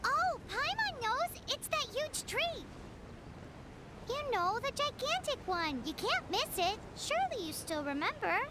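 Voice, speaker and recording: Excitable little fairy sidekick, childlike, very high, unhurried pace, video game. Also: female voice, heavy background noise, exaggerated voice. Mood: excited. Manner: childlike, speaks of self in third person. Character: tiny excitable floating fairy companion, childlike girl.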